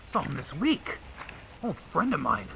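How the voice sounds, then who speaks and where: An actor playing a gruff, wisecracking big-city detective in an old-time radio drama, with hard-boiled slang. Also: male voice, on the high side, huge pitch swings, measured pace, background noise, exaggerated voice. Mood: suspicious.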